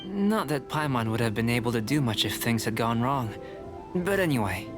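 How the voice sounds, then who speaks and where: Man voicing a calm, measured young alchemist. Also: mid-range voice, lightly acted. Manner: composed, measured, gently teasing. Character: calm young male alchemist, polite and dryly curious. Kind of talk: game voice acting. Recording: studio game audio with music behind. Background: clear music.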